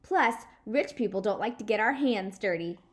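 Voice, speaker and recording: A woman's voice: mid-range voice, animated, normal speed, natural voice. A woman voicing a showy, self-important, bossy rich little girl in a children's storybook. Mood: boastful.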